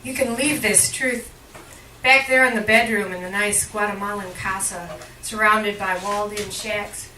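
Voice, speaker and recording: A woman giving a live poetry reading in measured, deliberate phrases with emphatic line breaks, recorded indoors at a cafe. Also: medium pitch, steady tone, sharp tone. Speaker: poet, featured reader at a poetry reading. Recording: roomy, distant live recording with faint noise.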